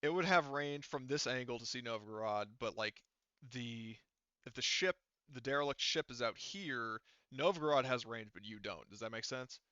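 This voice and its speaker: A man working as game master, narrating a tabletop roleplaying session casually with a halting delivery. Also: medium pitch, lively intonation, bright, forceful tone, no room sound, dull audio.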